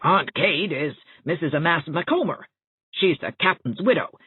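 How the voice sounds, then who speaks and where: A woman's voice: sits low, lively intonation, normal speed, breathy edge, narrow, tinny audio, heavily acted. A woman voicing a chatty, well-meaning older small-town busybody in an audiobook, with a folksy, rambling, confiding manner. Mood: matter-of-fact, knowing. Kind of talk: audiobook character dialogue. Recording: thin band-limited audio, roomy echo.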